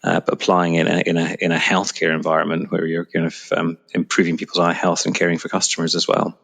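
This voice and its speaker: A middle-aged man with a Scottish accent and a very low voice full of creak. He speaks conversationally, as a business executive being interviewed. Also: monotone, quick pace, a bit bright. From Edinburgh, Scotland. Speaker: retail executive, interview guest.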